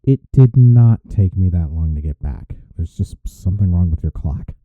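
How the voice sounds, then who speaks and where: A man playing a devoted, gentle boyfriend in an intimate comfort audio roleplay, soft-spoken and reassuring. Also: low voice, animated, moderate pace, dry recording, phone-quality sound. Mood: casual, warm.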